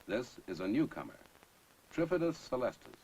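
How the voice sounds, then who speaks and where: A man acting as a grave science narrator in a 1960s sci-fi film, with formal, measured, portentous scripted delivery. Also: medium pitch, very animated, darkish tone. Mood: grave, ominous. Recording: noisy early 1960s film soundtrack.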